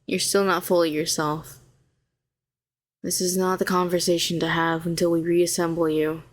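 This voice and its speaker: A woman performs a telepathic villainess in an intimate audio drama. She is a fallen hero with a low voice, sardonic on the surface and protective underneath. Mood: guarded, wry. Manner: sharp and sardonic, turning soothing and coaxing. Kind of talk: intimate scripted audio roleplay.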